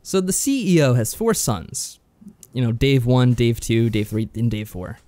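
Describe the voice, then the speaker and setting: A man's voice: medium pitch, sing-song, normal speed, dark tone, no echo. A man co-hosting a podcast, casually summarising and reviewing games in a dry, understated way. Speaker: podcast co-host.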